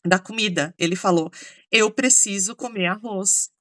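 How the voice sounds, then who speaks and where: A woman with a Brazilian accent hosting a relaxed chat and telling a story with many fillers. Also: mid-range voice, normal speed, dry recording, clean studio sound. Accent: Brazilian Portuguese. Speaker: host. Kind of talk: casual conversation.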